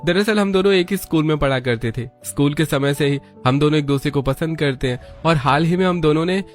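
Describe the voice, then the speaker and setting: A man's voice: fairly high pitch, brisk, faint music behind, close to an everyday voice. A man voicing a stubborn, eager young man in love in a family cartoon, with an emphatic, pleading manner. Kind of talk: Hindi family cartoon dubbing.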